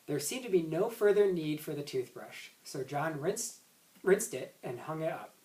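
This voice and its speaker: A roomy, slightly distant recording of a man reading a children's storybook aloud. His pitch moves around in a lively way, and he occasionally trips on a phrase and reads it again. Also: normal speed. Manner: stumbles and rereads words. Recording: roomy echo, distant microphone.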